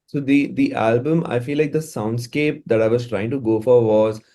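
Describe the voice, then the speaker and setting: Guy musician in an interview, normal pitch, casual, kinda halting, repeats words while thinking. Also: warm tone. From India. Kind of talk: casual, reflective interview talk.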